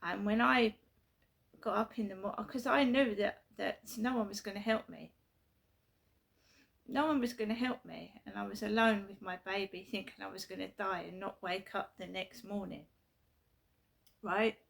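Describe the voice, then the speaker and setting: Gravelly middle-aged woman. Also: medium pitch, fairly even intonation, moderate pace, pauses often. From South London, England. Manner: repetitive, emphatic, earnest storytelling. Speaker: vlogger. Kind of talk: casual personal vlog. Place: her flat at night.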